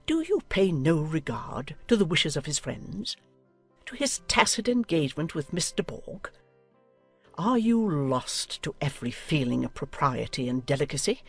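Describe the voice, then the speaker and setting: A woman's voice: sits low, sing-song, moderate pace, slightly rough, faint music behind, exaggerated voice. A woman playing a haughty, domineering older noblewoman in a dramatized audiobook, speaking with imperious, declamatory emphasis. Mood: indignant.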